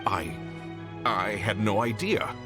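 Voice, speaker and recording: A man's voice: fairly low pitch, expressive, slow, over music. A man voicing a blustering, argumentative male merchant in a video game, smug and easily indignant. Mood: flustered. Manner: pompous, argumentative. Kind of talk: video game dialogue acting.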